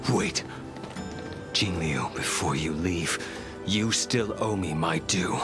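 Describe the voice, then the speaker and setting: Game role: haunted undying warrior, low-pitched, slow and heavy, bitter, brooding. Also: male voice, animated, music in the background. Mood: grim, insistent. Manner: brooding, deliberate, heavy delivery. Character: grim, tormented immortal swordsman, bitter and haunted. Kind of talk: dramatic video game voice acting.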